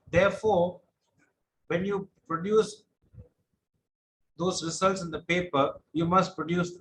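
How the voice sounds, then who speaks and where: A man's voice: high-pitched, slight background noise, rough recording. A middle-aged man lecturing online with a droning voice, answering questions patiently and explaining step by step. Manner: patient, explanatory, some repetition.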